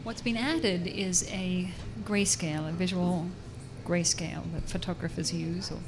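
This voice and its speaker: A woman's voice: lowish voice, fairly even intonation, unhurried. A woman, a university professor, chatting in a radio interview, laughing often and restarting her sentences. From Australia. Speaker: university professor and artist guest. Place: busy public fair. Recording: crowd noise behind.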